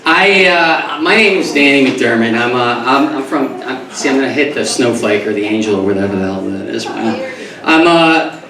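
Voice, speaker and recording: A man from New York doing a stand-up comedy set, rambling and stumbling over his words, then breaking into loud comic outbursts. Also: mid-range voice, moderate pace. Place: comedy club stage before a live audience. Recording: echoey club recorded from a distance, crowd audible.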